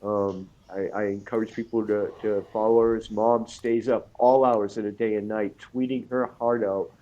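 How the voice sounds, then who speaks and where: A man with a cold, talking casually as a guest on an online radio show, halting with fillers and restarts. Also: on the low side, little pitch movement, dark tone, lo-fi sound. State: has a cold.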